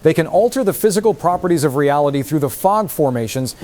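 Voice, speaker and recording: Man as a rapid-fire trapped survivor broadcaster. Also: fairly high pitch, lively intonation, a little mellow, lightly acted. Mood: urgent, fatalistic. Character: trapped male survivor broadcasting a grim last report. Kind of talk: scripted apocalyptic radio drama monologue.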